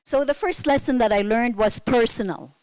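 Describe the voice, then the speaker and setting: A woman's voice: on the high side, moderate pace, darkish tone, no room sound, poor audio. Candid Filipino-born woman on a panel. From Philippines. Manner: earnest storytelling, candid and self-critical. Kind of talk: conference panel talk.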